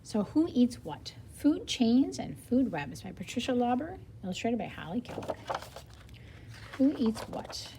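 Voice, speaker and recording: A woman's voice: fairly high pitch, big pitch swings, a little slow, creaky, noisy background. A woman reading a children's nonfiction book aloud like a teacher, explaining as she goes for young learners. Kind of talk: read-aloud of nonfiction picture book with brief explanatory asides.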